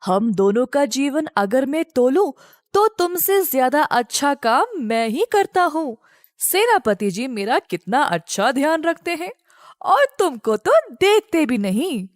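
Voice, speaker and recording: A woman's voice: sits high, sing-song, measured pace, no room sound, clean studio sound. A woman voicing an arrogant male war horse in a children's animal story. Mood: boastful, mocking.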